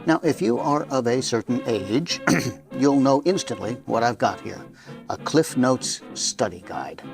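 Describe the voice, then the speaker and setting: A man's voice: medium pitch, creaky. A man hosting with a chatty, semi-scripted introduction, wry and folksy, with small hesitations. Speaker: host.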